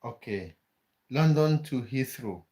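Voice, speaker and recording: A man's voice: mid-range voice, expressive, takes it slowly, warm tone. A man from Cameroon, hosting a casual live stream, chatting with viewers and often repeating words as he thinks aloud. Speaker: livestream host.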